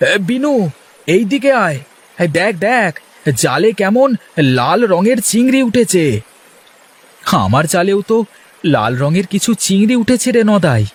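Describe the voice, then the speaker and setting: A man voicing a poor, excitable village fisherman in a folksy moral-story cartoon. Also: sits high, a little slow, pauses often, husky, exaggerated voice. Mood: excited.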